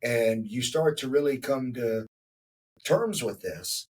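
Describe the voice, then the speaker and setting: Forties male host, husky tone, loose reflective delivery, mic set a bit back. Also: medium pitch, lively intonation, slow, darkish tone, far from the mic. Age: 40s. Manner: reflective, rambling with many fillers. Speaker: podcast host. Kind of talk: casual interview conversation.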